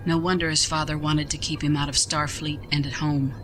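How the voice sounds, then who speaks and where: A woman's voice: low voice, level tone, fairly quick, background noise, natural voice. A woman playing an authoritative starship captain in a science fiction audio drama, with a commanding, formal manner. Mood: firm, concerned.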